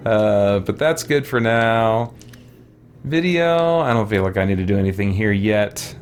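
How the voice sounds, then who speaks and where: A man giving casual gaming commentary as a let's play host, rambling and thinking aloud over menus. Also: mid-range voice.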